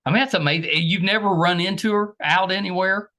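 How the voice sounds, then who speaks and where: A man's voice: sits high, steady tone, a little fast, bright, forceful tone. A man interviewing a guest in casual, conversational podcast style.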